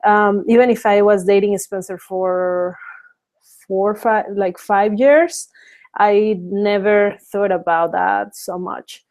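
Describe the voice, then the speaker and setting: A woman from Mexico in her thirties hosts a casual webinar. She speaks slowly and hesitantly, pausing often with fillers and restarting her sentences. Her pitch sits in an ordinary middle range. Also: fairly even intonation, a little mellow. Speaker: webinar host.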